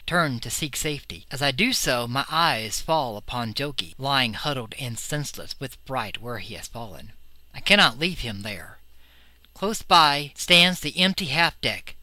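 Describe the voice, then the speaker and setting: Guy narrating scary story, super bright tense voice, theatrical and intense, really dry sound. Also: mid-range voice, no echo. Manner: intense, theatrical, suspenseful delivery. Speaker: audiobook reader. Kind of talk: dramatic scripted narration of a horror story.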